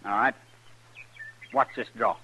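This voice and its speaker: A man's voice: mid-range voice, fairly even intonation, a little slow, natural voice. A man playing a touchy, curt young gunslinger with a Western American accent in a 1950s radio drama. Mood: curt, defiant. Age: young adult. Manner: curt, challenging, intense. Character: defiant, touchy young gunslinger bent on killing. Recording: vintage radio recording, faint hiss.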